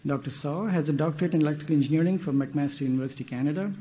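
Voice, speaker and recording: A middle-aged man at a podium microphone, reading out a formal speaker introduction in a measured way with small hesitations. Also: fairly high pitch, dark tone. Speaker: session chair introducing speaker. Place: conference stage at a podium.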